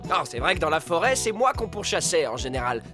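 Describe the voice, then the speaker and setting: A man's voice: on the high side, sing-song, a little fast. A man voicing a cocky, easily rattled fox who poses as a pet dog in a French children's cartoon, with exclamatory delivery. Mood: irritated, swaggering. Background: cartoon music.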